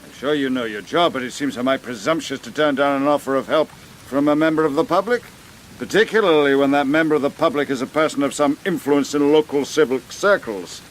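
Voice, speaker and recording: A man's voice: medium pitch, fairly quick, slight background noise, lightly acted, low-quality recording. A man acting in a radio crime drama as a meddling, tipsy local bigwig, haughty, genteel and self-important. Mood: haughty, affronted.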